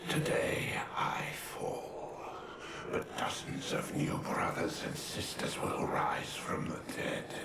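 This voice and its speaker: Male actor as undead game villain, hissing whisper, very slow, menacing, contemptuous, portentous. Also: roomy sound, lightly acted. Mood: defiant. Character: menacing undead servant of dark lords, defiant and contemptuous. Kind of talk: video game cutscene villain acting.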